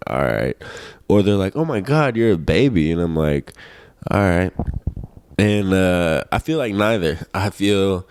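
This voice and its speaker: A man's voice: low voice, darkish tone, smooth, dry recording. A man in his twenties chatting casually to his audience, rambling in a laid-back, slangy way with some swearing. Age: 20s. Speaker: host of a personal vlog show. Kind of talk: casual rambling solo chat.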